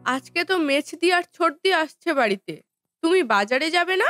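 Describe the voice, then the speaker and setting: A woman voicing a sweet, dutiful wife in a Bengali family cartoon, gentle and earnest in manner. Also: extremely high pitch, animated, moderate pace, over music. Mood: concerned.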